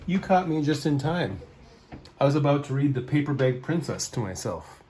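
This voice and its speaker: A man's voice: a little high, big pitch swings, normal speed, warm tone, slight background noise. Man reading a picture book aloud. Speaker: storyteller, host.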